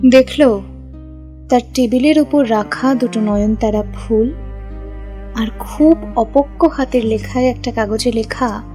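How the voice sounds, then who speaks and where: A woman's voice: fairly high pitch, unhurried, dark tone. A woman reading a scripted audio story as its narrator. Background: background music.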